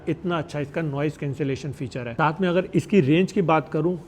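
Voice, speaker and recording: A man's voice: fairly high pitch, slight background noise. A man giving a casual product review as a vlogger, in a conversational way with English words mixed in. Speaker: motorcycle vlogger reviewing gear.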